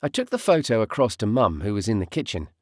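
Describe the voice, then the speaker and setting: A man reading a first-person audiobook narration in a measured storytelling way, in short, deliberate phrases. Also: lowish voice, sing-song, dry recording, clean studio sound.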